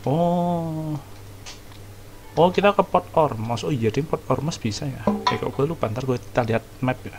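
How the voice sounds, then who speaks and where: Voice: medium pitch, normal speed, noisy background. A game streamer with an Indonesian accent, giving casual let's-play commentary and chatting informally to viewers. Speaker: gaming streamer. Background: game music.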